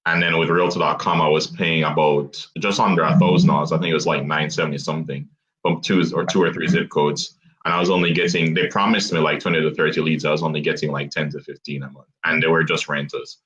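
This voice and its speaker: Young man, very low voice, dull lo-fi call audio, halting, laughs a lot. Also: fairly even intonation, a bit bright. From abroad, grew up outside the US. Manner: laughs often, self-deprecating, halting restarts. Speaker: guest. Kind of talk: casual interview chat.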